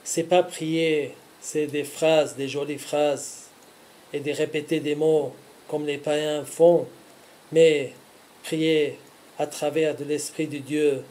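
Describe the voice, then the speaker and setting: A man speaking earnestly in short, deliberate phrases, as a religious host giving scripted devotional teaching. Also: on the high side, flat delivery, warm tone, low-quality recording.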